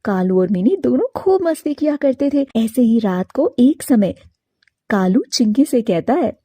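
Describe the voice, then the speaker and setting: A woman narrating a scripted animated moral story as its storyteller. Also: fairly high pitch, measured pace, breathy edge, no room sound.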